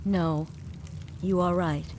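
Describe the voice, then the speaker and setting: An actress playing a tormented, remorseful spirit guide in a video game, speaking in a formal, measured, solemn way. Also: female voice, on the low side, fairly even intonation, slight background noise. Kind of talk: dramatic video game voice acting. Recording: loud music behind. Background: game score music.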